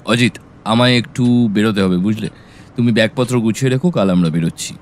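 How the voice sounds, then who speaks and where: A man playing a calm, analytical private detective in an audio story, reasoning and questioning in a measured way. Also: on the low side, close to an everyday voice. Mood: decisive.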